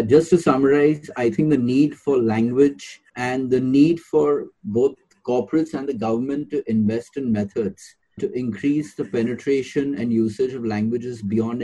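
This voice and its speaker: Man hosting an interview, dark and husky. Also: mid-range voice, rough recording. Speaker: interview host. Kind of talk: interview questions.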